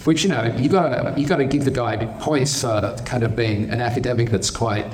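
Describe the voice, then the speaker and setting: A man speaking on a panel at a live conference event, making his case with conviction and everyday analogies. His voice is mid-pitched and natural. The live recording carries a faint wash of background noise. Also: fairly quick, a little mellow. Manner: opinionated, uses everyday analogies.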